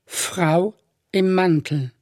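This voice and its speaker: A woman reciting lyrical poetry with measured, solemn phrasing. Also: on the low side, darkish tone, no room sound. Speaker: poetry reader. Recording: dry studio recording over music. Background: music.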